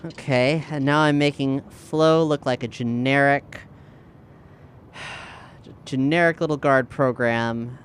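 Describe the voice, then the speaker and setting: A man chatting casually as a tabletop game player, thinking aloud in a halting way. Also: medium pitch, steady tone, slight background noise.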